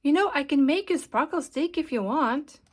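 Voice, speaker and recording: A woman's voice: high-pitched, animated, normal speed, voice pushed up. A woman voicing a helpful, modest female bottle of glue in a friendly, earnest way for a children's storybook. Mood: eager.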